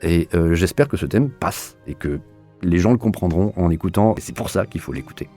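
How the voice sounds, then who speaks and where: A man's voice: deep voice, lively intonation, a little fast, mellow, soft background music. A man being interviewed as an audio drama director, answering spontaneously in an earnest, searching way, often repeating words as he feels for the next one.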